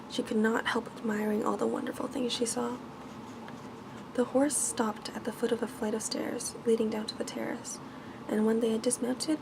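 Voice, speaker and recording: Soft, creaky-voiced woman reading a bedtime story. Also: fairly high pitch, noisy background. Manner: hushed, gentle, measured storybook reading with small stumbles.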